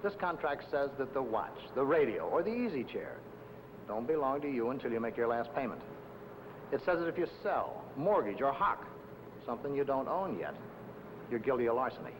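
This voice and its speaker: A man's voice: big pitch swings, normal speed, lightly acted. A man playing a blunt, condescending investigator in a 1950 film noir, leaning hard on a debtor. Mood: stern, lecturing. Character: hard-nosed, threatening male debt-collection investigator. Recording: noisy old film soundtrack, traffic rumble.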